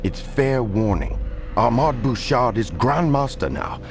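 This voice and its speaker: A man's voice: mid-range voice, expressive, a little slow, background noise. An actor playing a male soldier subordinate in a video game cutscene, deferential and apologetic yet blunt. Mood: deferential, firm. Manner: deferential, plain-spoken.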